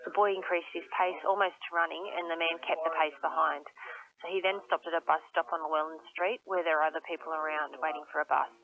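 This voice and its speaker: A woman's voice: deep voice, steady tone, quick pace. A woman with an Australian accent giving a formal police incident statement over the telephone. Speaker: police spokesperson. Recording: tinny narrow phone line.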